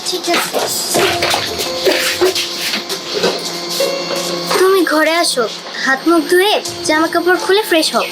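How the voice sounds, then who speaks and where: A woman's voice: very high-pitched, brisk, over loud music, rough recording. A woman voicing a long-suffering, devoted young wife in a cartoon drama, earnest and pleading as she explains. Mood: caring.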